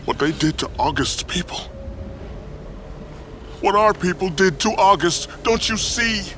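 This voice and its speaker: A man's voice: sits high, animated, measured pace, fairly bright tone, slight background noise. An actor playing a disillusioned male deserter in a video game, speaking in a weary, pleading way. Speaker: game character. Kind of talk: video game cutscene acting.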